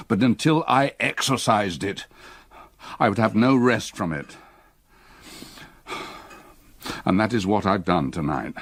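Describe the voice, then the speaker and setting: An actor playing a grief-stricken old man haunted by his past, in a literary, measured gothic radio drama style. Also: lowish voice, no room sound. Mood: weary relief. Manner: literary, measured, emotionally burdened.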